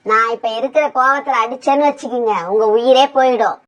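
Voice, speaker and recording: A woman's voice: high voice, steady tone, brisk, lo-fi sound, close to an everyday voice. A woman voicing a bossy mother bird. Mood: upset. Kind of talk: cartoon voice acting.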